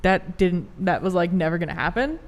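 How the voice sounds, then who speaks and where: A woman's voice: lowish voice, lively intonation, brisk. A young woman in her early twenties co-hosting a podcast, talking casually with lots of "like" and incredulous swearing. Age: young adult. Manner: incredulous, swears, heavy use of "like". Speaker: podcast co-host. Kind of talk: casual chat.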